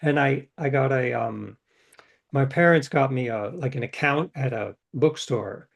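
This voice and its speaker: A man's voice: mid-range voice, unhurried, vocal fry. Rambling older man telling stories. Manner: rambling, self-correcting, acts out quoted dialogue. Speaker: interview guest, novelist. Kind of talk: casual storytelling.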